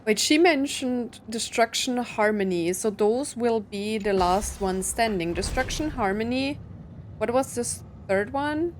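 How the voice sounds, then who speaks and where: A woman's voice: fairly high pitch, expressive, a little slow, slight background noise. A woman giving casual, informal playthrough commentary as a game streamer, thinking aloud as she goes. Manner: chatty, informal, musing aloud. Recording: soft game music behind.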